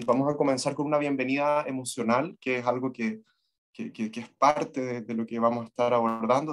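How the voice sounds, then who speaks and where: A man with a Chilean Spanish accent giving an online presentation to students, with somewhat hesitant, halting phrasing. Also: mid-range voice. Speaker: teacher trainer giving an online talk. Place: remote online video call from Santiago.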